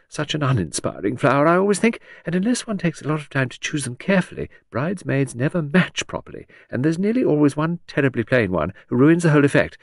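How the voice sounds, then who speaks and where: Man playing a fluttery aristocratic old lady, rambling, breathless, trilling highs, dry close sound. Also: vocal fry, no echo, heavily acted. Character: fluttery, vague, chattering aristocratic older woman. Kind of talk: audiobook character voice.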